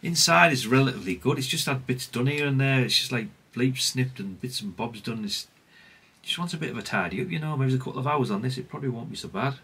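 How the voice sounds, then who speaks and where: A man's voice: mid-range voice, lively intonation, quick pace, fairly bright tone, breathy edge. A middle-aged British man giving casual, unscripted workbench commentary in a rambling, chatty, plain-spoken way. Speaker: hobbyist radio repair vlogger.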